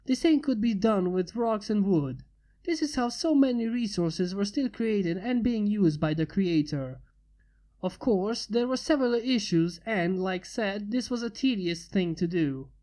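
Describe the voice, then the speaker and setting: Woman, fanfic reader, mellow dark tone, steady narration. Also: medium pitch, unhurried. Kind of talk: reading a fan story aloud.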